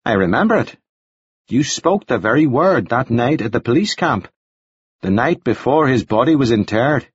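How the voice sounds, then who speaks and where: A man voicing a genteel, talkative gentleman who speaks formally and politely, in a dull, dry recording. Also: mid-range voice, animated, normal speed, breathy edge, dry recording. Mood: earnest. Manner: formal, flowery, solemnly polite.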